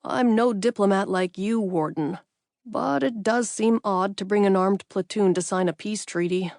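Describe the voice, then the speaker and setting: A woman voicing a gruff older male castle retainer, deferential and wary, in a fantasy audiobook. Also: medium pitch, normal speed, a little mellow, dry recording, studio quality, close to an everyday voice. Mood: formal, wary.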